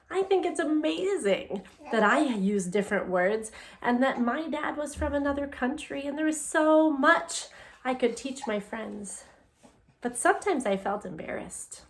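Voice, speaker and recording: A woman with a fairly high voice reads a picture book aloud as a teacher would to young children. She takes her time, shaping phrases expressively and turning to her listeners with simple, conversational questions. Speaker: elementary English language development teacher.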